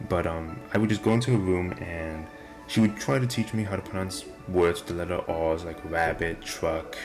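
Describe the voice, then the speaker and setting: A young man with a deep voice and nonstandard R sounds, talking casually and self-deprecatingly to the camera. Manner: rambling, self-deprecating, many restarts. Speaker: vlogger. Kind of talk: casual rambling monologue. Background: video game music playing.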